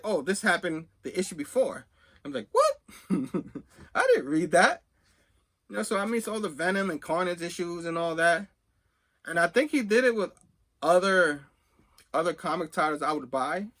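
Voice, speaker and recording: A man's voice: a little high, a bit bright. A middle-aged man telling a personal story casually, rambling conversationally and acting out bits of remembered dialogue. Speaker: host of a comics collecting video channel.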